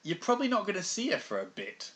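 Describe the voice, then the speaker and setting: A man's voice: sits high, wildly swooping pitch, fast, a bit bright. A British man co-hosting a podcast, talking in a dry, wry and rambling way with lots of fillers. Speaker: podcast co-host. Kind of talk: casual anime discussion.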